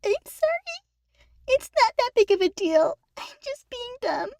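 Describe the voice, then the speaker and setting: A meek little chipmunk sister voiced by a woman in an audio story, very high and plaintive. She sounds tearful and quick to blame herself, with a dry, close sound. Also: sing-song, vocal fry, darkish tone, dry recording, exaggerated voice. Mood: tearful, self-blaming.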